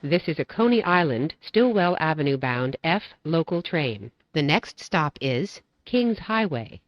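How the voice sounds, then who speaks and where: A woman with a low-pitched voice delivers scripted train announcements. Her delivery is measured and formulaic, like a set transit script. The recording is dry and a little dull. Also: big pitch swings, no echo.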